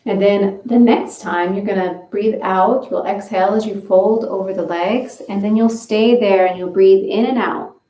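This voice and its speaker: A woman leading a guided breathing and stretching session, giving slow, gentle step-by-step instructions. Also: medium pitch, steady tone, distant mic. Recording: roomy, from away from the mic.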